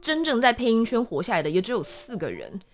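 A woman with a Taiwanese Mandarin accent, telling stories as a guest in a conversational interview with a dramatic, animated delivery. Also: fairly high pitch, fast, soft background music. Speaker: voice actor guest.

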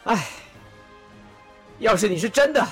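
A woman's voice: medium pitch, expressive, unhurried, reverberant, long reverb tail, faint music behind, lightly acted. A woman voicing a cheerful, boastful male general who talks in a chatty, swaggering way. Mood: wistful.

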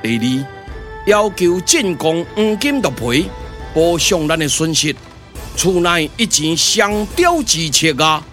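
A man's voice: fairly high pitch, big pitch swings, slow, fairly bright tone, pitched below the performer's own voice, heavily acted. A man with a Taiwanese accent playing an imposing, righteous rebel leader, solemn and formal, declaiming in a puppet radio drama. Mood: measured, solemn. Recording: music under the voice.

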